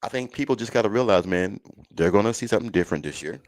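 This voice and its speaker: A man's voice: on the low side, animated, quick pace, no room sound. A man hosting a college football show in a casual, folksy, conversational way, speaking to listeners like friends. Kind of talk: casual live sports chat.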